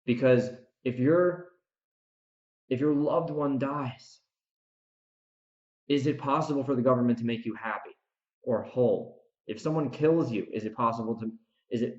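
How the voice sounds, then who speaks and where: Male podcast host, off-the-cuff opinionated rant, emphatic repetition and dramatic pauses, roomy and slightly dull sound. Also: mid-range voice, darkish tone. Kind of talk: unscripted opinionated podcast monologue.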